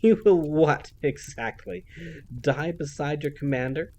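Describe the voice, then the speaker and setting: A man's voice: on the high side, measured pace, warm tone, slight background noise, voice pushed up. A man voicing a snide, childlike female villain who mocks and gloats in a theatrical sing-song. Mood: mocking.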